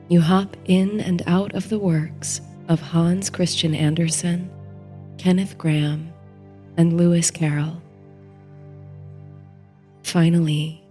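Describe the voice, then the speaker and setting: Slow, mellow woman narrating a bedtime story. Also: fairly low pitch. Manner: slow, soothing, unhurried delivery. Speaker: host and narrator of a sleep story series. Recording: soft music under the voice. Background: soft music.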